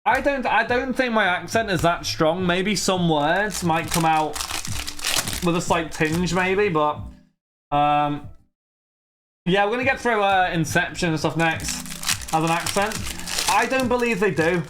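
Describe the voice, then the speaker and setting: A man's voice: high-pitched, unhurried, noisy background. A young man in his twenties casually streaming, rambling and chatty as he answers his viewers' messages. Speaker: streamer opening trading cards. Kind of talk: casual live-stream chat.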